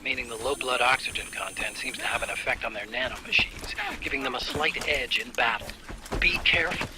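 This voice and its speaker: An actor playing a support operator giving a soldier a brisk, informative mission briefing. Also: male voice, medium pitch, very noisy, close to an everyday voice. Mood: urgent.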